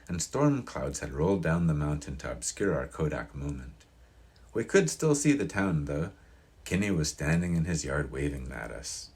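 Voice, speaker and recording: Audiobook narrator: male, very deep bass register with a rough husky edge, reading fiction aloud.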